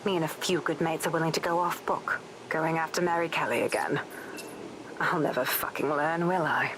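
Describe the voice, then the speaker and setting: An actress playing a tough, world-weary former police inspector in a video game, blunt, wry and sweary. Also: female voice, deep voice, fairly even intonation, normal speed. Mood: bitter resolve. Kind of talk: video game cutscene acting. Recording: noisy ambient background.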